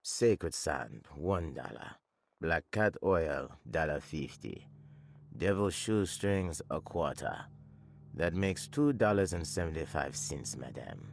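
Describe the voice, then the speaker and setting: Game role: courteous but guarded occult shopkeeper, extremely low, creaky, terse and measured. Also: male voice, lively intonation, slight background noise, full character voice. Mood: measured, businesslike. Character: guarded, courteous male shopkeeper selling voodoo charms. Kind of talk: video game character acting.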